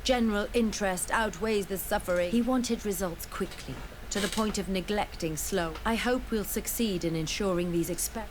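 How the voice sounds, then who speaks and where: A woman's voice: medium pitch, moderate pace, few pauses, slight background noise, natural voice, poor audio. A woman playing an earnest, principled scholar full of remorse, in sober video game dialogue. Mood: remorseful, earnest.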